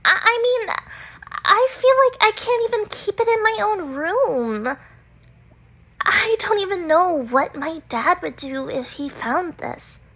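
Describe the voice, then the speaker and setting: A tiny-sounding, very high voice as a bossy teenage schoolgirl in an anime game dub. She is defensive and easily flustered, with swooping pitch and drawn-out, unhurried delivery. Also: lively intonation, pushed and bright, full character voice. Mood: huffy, defensive. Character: feisty, defensive teenage schoolgirl who loves manga. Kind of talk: anime visual novel fan dub acting.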